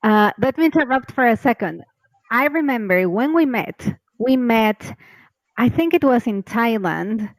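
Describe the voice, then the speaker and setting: A woman's voice: on the high side, lively intonation, measured pace, no room sound, rough recording. A woman hosting a podcast interview, speaking casually and enthusiastically, often chiming in with short affirmations. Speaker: podcast host.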